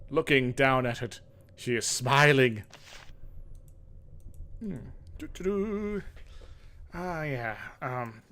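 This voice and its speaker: A man's voice: medium pitch, a bit bright. A man hosting a gaming video, reading game text dramatically with playful, casual asides. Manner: playful, sing-song humming between readings.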